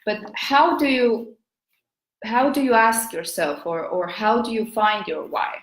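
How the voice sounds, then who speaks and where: A woman's voice: fairly high pitch, expressive, measured pace, echoey room, low-quality recording. A woman with an Estonian accent, a business coach hosting a live video talk in her thirties, hesitant, with many fillers and restarts.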